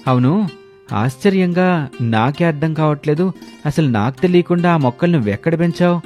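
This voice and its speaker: A man's voice: fairly high pitch, lively intonation, moderate pace, darkish tone, faint music behind, pitched above the performer's own voice, lightly acted. A man voicing a curious, easygoing young husband with a questioning, mildly surprised manner in a story cartoon. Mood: puzzled.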